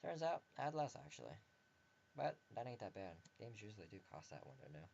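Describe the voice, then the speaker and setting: A man's voice: lowish voice, sing-song, brisk, vocal fry, a little mellow, dull audio, slight background noise. A young man reading a visual novel aloud as a let's player, expressively, with casual wry asides. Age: young adult.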